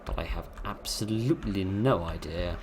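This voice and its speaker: A hoarse man giving dry, wry, rambling commentary while playing a horror game at his computer. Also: deep voice, moderate pace, background noise. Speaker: gameplay commentator. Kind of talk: let's play commentary.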